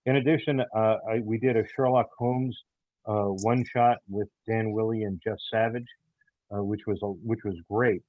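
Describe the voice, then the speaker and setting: Halting low-voiced man on thin, phone-like audio. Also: steady tone, no echo. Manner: halting, hesitant phrasing with many pauses. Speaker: audio theater writer and creator. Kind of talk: interview answers, explaining creative process.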